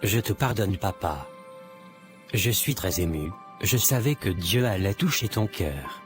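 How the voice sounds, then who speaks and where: A man playing a devout, forgiving adult son in a cartoon, speaking earnestly and deliberately, a little preachy. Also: low-pitched, expressive. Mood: gentle, moved. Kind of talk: dubbed cartoon dialogue. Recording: music under the voice.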